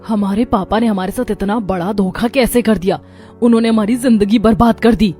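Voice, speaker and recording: A woman voicing young, expressive newlywed sisters in dramatized story dialogue. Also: mid-range voice, brisk, breathy edge, soft background music, close to an everyday voice. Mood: upset.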